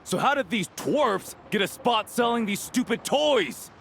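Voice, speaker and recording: A man's voice: very high-pitched, expressive, a little slow, gravelly, a bit bright, a little hiss or hum, full character voice. A man voicing a surly, aggrieved punk who bullies people, in a video game. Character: aggrieved male punk, surly bullying troublemaker.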